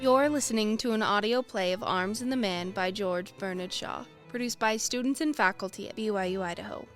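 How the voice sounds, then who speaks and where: A young woman reading scripted narration as the announcer of a radio play. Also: medium pitch. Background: music under the voice.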